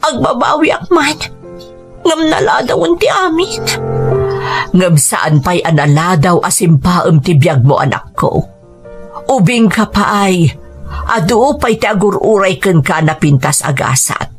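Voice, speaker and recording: Woman acting a patient, pleading Ilocano mother, animated intonation. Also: on the low side, measured pace, faint music behind. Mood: consoling. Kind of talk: radio drama acting.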